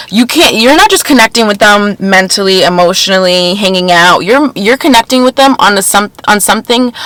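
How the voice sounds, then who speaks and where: A woman's voice: medium pitch, fairly bright tone, dry recording, poor audio. Earnest congested young woman, dry sound. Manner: earnest, repeats phrases, spiritual wording. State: congested, a little nasal. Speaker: podcast host. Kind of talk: casual spiritual self-help chat.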